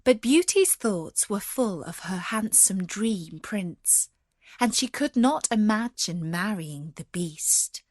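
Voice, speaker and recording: A woman narrating a scripted fairy tale as a storyteller, measured and expressive. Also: mid-range voice, no echo, studio quality.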